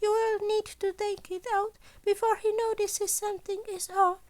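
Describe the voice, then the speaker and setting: A woman's voice: very high-pitched, flat delivery, moderate pace, darkish tone, pitched above the performer's own voice. A woman voicing a polite, scheming animal school principal in a story, speaking formally and precisely as if lecturing. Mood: formal, instructing. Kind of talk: scripted story character voice.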